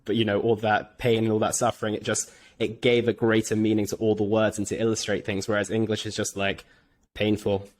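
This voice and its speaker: A man hosting a podcast, asking relaxed, rambling questions in a casual interview. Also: lowish voice, fairly quick. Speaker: podcast host.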